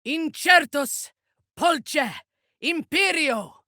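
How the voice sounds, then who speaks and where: An actress voicing a haughty, ruthless female warrior zealot in a video game, speaking in a formal, imperious, deliberate way with a husky voice. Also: sits high, lively intonation, pushed and bright, dry recording, clean studio sound, full character voice. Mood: incanting. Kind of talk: video game character voice lines.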